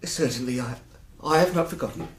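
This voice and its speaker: An actor in a radio drama playing a distraught, terrified businessman, halting and stammering but formally polite. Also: on the high side, background noise, lightly acted. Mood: shaken, courteous. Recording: roomy, echoing interior.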